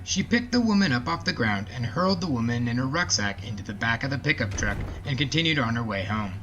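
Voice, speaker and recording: A man's voice: medium pitch, animated, fast, a bit bright, dull audio, a little hiss or hum. A man hosting a story podcast, talking and narrating in a casual, folksy way.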